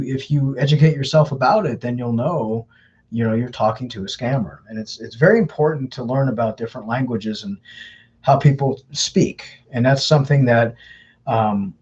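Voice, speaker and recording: A man's voice: mid-range voice, a little mellow, breathy edge, highs cut off. A man hosting a live Q&A, earnestly giving advice to viewers in a loose, repetitive way. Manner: earnest, advising, repeats words often. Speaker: host answering viewer questions. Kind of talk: live Q&A, casual explaining.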